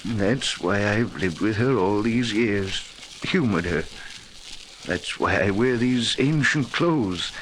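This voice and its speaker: An actor playing an anxious, old-fashioned gentleman host, hesitant, formal and apologetic, in 1940s radio style. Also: fairly low pitch, lively intonation, takes it slowly. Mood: hesitant, pleading. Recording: faintly noisy old 1940s radio recording.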